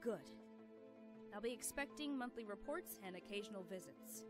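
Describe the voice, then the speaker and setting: A woman's voice: mid-range voice, expressive, normal speed, music in the background, natural voice. A woman voicing a villainous pony mother in a cartoon, scheming, mocking and theatrically domineering. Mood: commanding.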